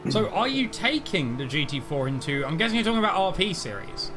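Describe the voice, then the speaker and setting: A man's voice: high voice, big pitch swings, fairly quick, over music. A man co-commentating a race, jokey and full of banter, laughing often. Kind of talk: casual commentary chat.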